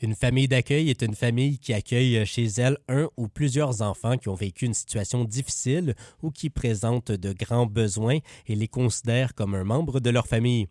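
Male newsreader, Quebec French accent, steady reading, dry close studio sound. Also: mid-range voice, moderate pace, few pauses, no echo. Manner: relaxed chatty in banter, steady when reading. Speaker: news reporter. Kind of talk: read news report with casual banter.

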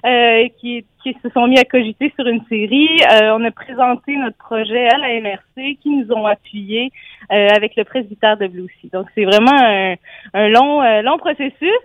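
A woman's voice: a little high, moderate pace, dry recording. A woman with a Quebec French accent, a guest chef and sommelier on a phone interview, talking in a bubbly way with frequent laughs and fillers. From Quebec, Gatineau valley. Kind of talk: phone interview on local radio. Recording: tinny phone line, dry.

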